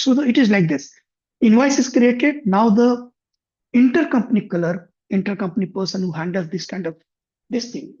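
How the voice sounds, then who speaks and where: A man demonstrating finance software on a shared screen, thinking aloud with frequent restarts. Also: high-pitched, slightly rough. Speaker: software instructor. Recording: muffled lo-fi computer mic.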